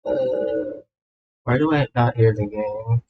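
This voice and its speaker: Slow-paced man chatting on a livestream. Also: fairly low pitch, big pitch swings, muffled recording, a little hiss or hum. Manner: scattered, self-interrupting, repeats phrases. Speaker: livestreamer, gamer VTuber. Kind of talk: casual livestream chat while setting up a game.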